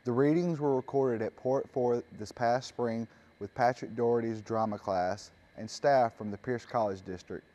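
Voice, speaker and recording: A man's voice: mid-range voice, fairly even intonation, normal speed, mellow, a little hiss or hum. A man hosting a magazine program, reading scripted introductions to each segment. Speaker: host. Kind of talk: scripted magazine-show links.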